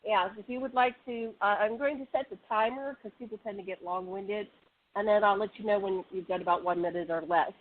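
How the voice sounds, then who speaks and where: A woman host moderating a group video call, brisk and organizing as she keeps time for each speaker. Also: mid-range voice, steady tone. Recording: tinny call audio with faint hiss.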